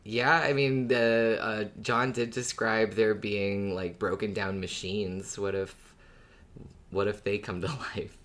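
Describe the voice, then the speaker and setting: A podcast co-host talking casually and informally in a relaxed discussion. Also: medium pitch, slow.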